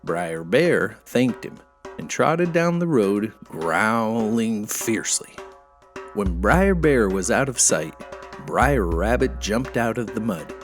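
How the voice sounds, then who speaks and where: A man telling a folktale as an expressive, folksy scripted narration. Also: on the low side, slow, soft background music. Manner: folksy, animated. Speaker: storyteller.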